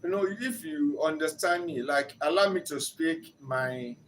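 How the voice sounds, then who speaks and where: A man's voice: fairly high pitch, a little slow, fairly bright tone, a little hiss or hum, rough recording. An older man with a Nigerian accent and Yoruba as his first language, giving an earnest, teaching-style talk as a relationship counselor that builds to emphatic rises.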